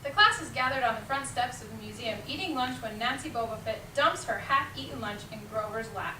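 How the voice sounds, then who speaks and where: Female reader's theater narrator, crisp and bright, picked up from a distance with faint noise. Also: fairly high pitch, brisk. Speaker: teacher, narrator. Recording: echoey room, distant mic, faint noise.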